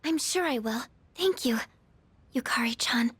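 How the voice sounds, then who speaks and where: A woman's voice: on the high side, animated, a little slow, a bit bright, slightly rough, heavily acted. A woman voicing a shy, earnest, kind teenage schoolgirl, polite and careful, in an anime-style video game. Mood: kind, reassuring.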